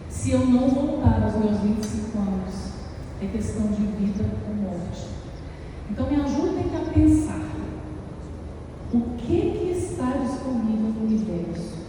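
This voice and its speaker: A woman's voice: medium pitch, normal speed. A woman in her forties with a Brazilian Portuguese accent, preaching a sermon, reading scripture and asking rhetorical questions with growing intensity. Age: 40s. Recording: echoey hall, distant mic, noisy background.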